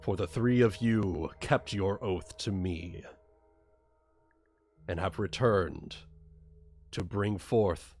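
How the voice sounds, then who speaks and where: Fantasy tabletop smith, played by a man: low, slow, grave and ceremonious, music underneath. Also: a little mellow. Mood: solemn, reverent. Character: solemn master smith forging a legendary blade.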